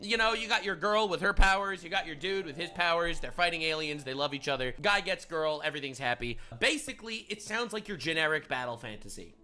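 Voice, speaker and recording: A man's voice: a little high, lively intonation, fairly quick, hardly any pauses, sharp tone. A man giving earnest, explanatory narration for a scripted video essay.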